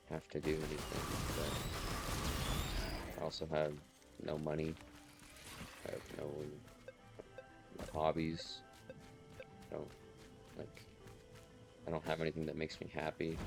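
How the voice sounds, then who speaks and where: A young man talking in a flat, monotonous way while playing a game at home on a desk mic. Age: young adult. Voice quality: gravelly low voice. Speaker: gamer vlogger. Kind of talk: casual gameplay commentary. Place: home room. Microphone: desk mic with noise suppression. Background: loud game music and gunfire.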